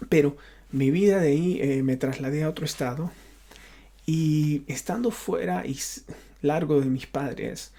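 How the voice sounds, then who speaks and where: A man from Honduras with a Honduran Spanish accent, a pastor giving an unscripted personal testimony, often halting and repeating himself as he searches for words. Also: fairly high pitch, gravelly, dark tone.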